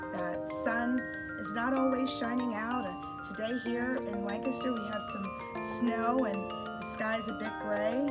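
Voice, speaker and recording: A woman speaking as a visiting children's author, addressing young children in an encouraging, gushing way. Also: fairly high pitch. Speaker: guest children's book author. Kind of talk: warm address to young children, read-aloud.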